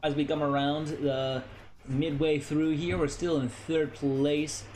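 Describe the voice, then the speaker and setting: A young American man chatting casually on a game stream, animated and playful, often stammering and repeating phrases. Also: on the high side, slow pace, slight background noise. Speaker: livestreamer, VTuber.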